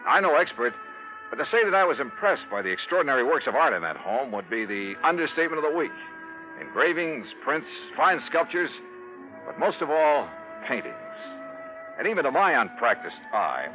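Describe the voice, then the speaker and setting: An American man playing a brisk, wry insurance investigator who narrates his case in 1950s radio drama style. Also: mid-range voice, big pitch swings, natural voice. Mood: wry narration. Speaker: lead actor and narrator. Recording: muffled 1950s radio broadcast, music behind.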